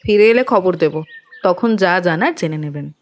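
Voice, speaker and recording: A woman's voice: on the low side, lively intonation, moderate pace. A woman playing a cool, dismissive lady of the house who is easily annoyed, in an audio detective drama. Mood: indifferent, curt. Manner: indifferent, clipped, dismissive. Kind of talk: acted dialogue in Bengali audio detective drama.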